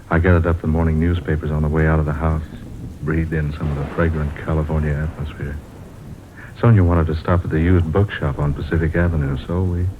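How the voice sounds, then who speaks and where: A reflective, fond husband and writer in an old-time radio drama. His voice sits low, and the recording is narrow and muffled, with rain and thunder noise behind it. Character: male writer husband, bemused, fond, reflective narrator of travels.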